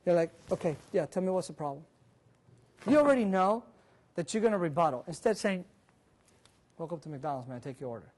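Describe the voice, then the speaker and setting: Animated man speaking in a conference room. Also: a little high. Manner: animated, walks about and gestures, quizzes the audience. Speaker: seminar speaker. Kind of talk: interactive career seminar talk. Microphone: far camera, room mic.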